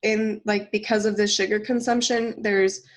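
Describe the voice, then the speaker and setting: A woman's voice: mid-range voice, little pitch movement, moderate pace. Congested woman co-hosting a casual podcast. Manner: chatty, laughs often, many fillers. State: congested from a cold. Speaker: podcast co-host.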